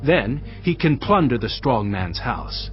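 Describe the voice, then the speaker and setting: An earnest teacher with authority, emphatic and swinging in pitch, clear music underneath, bible audio drama. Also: male voice, big pitch swings, measured pace. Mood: stern teaching. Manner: emphatic, rhetorical delivery. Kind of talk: dramatized scripture reading. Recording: dull studio audio with music behind.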